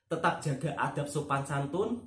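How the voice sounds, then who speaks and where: A man's voice: on the high side, lively intonation, normal speed. A man from Java with a Javanese accent, hosting his channel and chatting earnestly with a friend, often asking for agreement. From Java, Indonesia. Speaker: channel host. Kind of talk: casual chat with a friend. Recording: echoey room.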